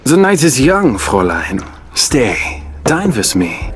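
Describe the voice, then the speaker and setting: An actor playing an arrogant, lecherous German racing driver villain in a video game, with a smug, menacing drawl. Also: male voice, mid-range voice, big pitch swings, slow pace. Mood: smug, leering. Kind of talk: video game cutscene acting.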